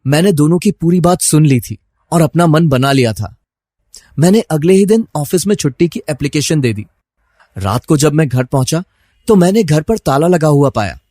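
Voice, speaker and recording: A male actor as a troubled, suspicious husband narrates his own story in a fairly high male voice. The delivery is scripted and dramatized, like an audio story. The recording is dry and close. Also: expressive, normal speed, warm tone, no echo.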